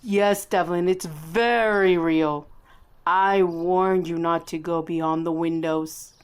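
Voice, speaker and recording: A woman plays a vengeful ghoul in a radio horror tale. She speaks slowly and a little low. The manner is ominous and taunting, each warning laid down deliberately. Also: steady tone, smooth. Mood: taunting menace. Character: vengeful, menacing undead murdered woman.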